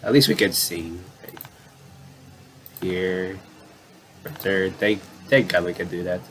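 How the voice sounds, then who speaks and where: A man's voice: sits low, slow, fairly bright tone, slight background noise. A man giving casual let's play commentary and reading game text aloud, stumbling and restarting as he reads. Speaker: gaming commentator.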